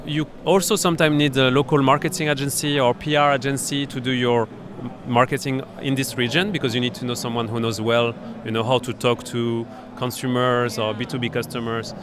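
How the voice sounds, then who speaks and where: A man with a French accent, a startup accelerator founder being interviewed at a startup event, explaining his business in a halting way full of fillers and restarts. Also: medium pitch, little pitch movement, a crowd in the background. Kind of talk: conversational interview.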